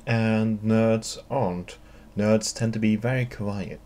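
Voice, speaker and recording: A man's voice: fairly low pitch, fairly even intonation, slow pace, mellow. A man with a Swedish accent answering viewer questions alone in a dry, wry, wordy monologue. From Sweden. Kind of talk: solo Q&A monologue.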